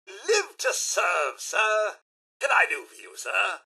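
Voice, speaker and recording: A fussy, loyal robot butler from a video game, British and grandly formal. The voice sits high and is piercingly bright, with a raspy edge. It is pompous and eager to serve, delivered in an unhurried, theatrical way. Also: male voice, huge pitch swings, heavily acted. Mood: eager, deferential. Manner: formal, pompous, deferential, exclamatory. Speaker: companion servant in a video game.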